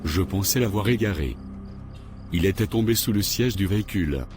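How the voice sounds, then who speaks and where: Cartoon dub, male actor: earnest grown man, lowish voice, measured delivery, faint music behind. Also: lively intonation, darkish tone, natural voice. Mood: evasive. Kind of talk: French-language cartoon dub.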